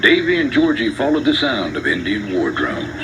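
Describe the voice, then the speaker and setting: Low-voiced male storybook narrator. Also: moderate pace, heavy background noise. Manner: expressive, dramatic. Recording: narration over loud music. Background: loud background music.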